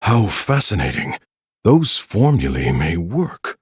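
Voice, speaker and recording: A man's voice: deep voice, big pitch swings, slow, slight background noise, full character voice. A man playing an urbane, theatrical scholar villain in a video game, grandiose and savoring his words. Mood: intrigued, sly. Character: smooth, theatrical scholar villain with hidden malice. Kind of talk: dramatic game voice acting.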